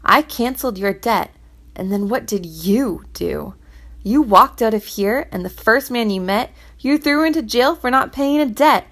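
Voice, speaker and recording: A woman's voice: on the high side, lively intonation, unhurried. A woman doing a kindly old king. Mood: stern.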